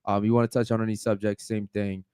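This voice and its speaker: A man's voice: fairly low pitch, flat delivery, quick pace, warm tone, smooth, no room sound, clean studio sound. A man co-hosting a podcast, loosely calling out sponsors and asking the panel questions. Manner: loose, easygoing, lots of "man" and "uh". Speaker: podcast co-host. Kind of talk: casual podcast chat, reading shout-outs.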